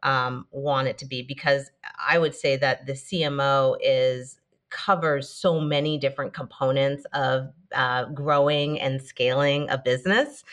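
A woman guest on a marketing podcast, answering in a thoughtful, measured way. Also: extremely low pitch, fairly even intonation. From born in Denmark, raised partly in Israel and the United States. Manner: thoughtful, measured, some restarts. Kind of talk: conversational interview.

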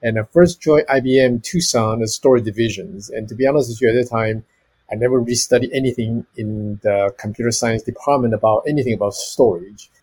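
A man's voice: medium pitch, fairly quick, darkish tone, breathy edge. A man in his fifties from Taiwan, a storage technology executive answering interview questions earnestly and listing many examples.

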